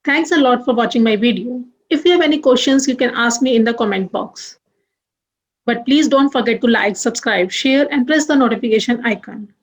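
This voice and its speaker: A young woman teaching French grammar, moving deliberately through examples and spelling out letters one by one. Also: fairly high pitch, rough recording. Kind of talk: grammar lesson.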